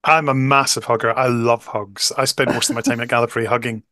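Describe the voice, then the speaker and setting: A man's voice: medium pitch, fairly even intonation, a little fast, pushed and bright. A middle-aged man with a Scottish accent giving a casual review as a guest host on a video call. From Scotland. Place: small room indoors. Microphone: headset on a video call.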